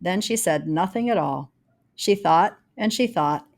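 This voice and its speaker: A woman reads a rhyming children's storybook aloud, telling it in a dramatic, deliberate way with varied emphasis. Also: lowish voice, expressive, creaky. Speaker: storybook reader. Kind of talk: expressive read-aloud of a rhyming children's picture book.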